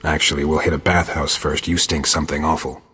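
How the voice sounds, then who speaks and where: A man voicing a gruff, weary monster hunter in a video game, terse and deadpan, with a raspy growl. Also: very deep voice, brisk, soft background music, heavily acted. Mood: dry, terse.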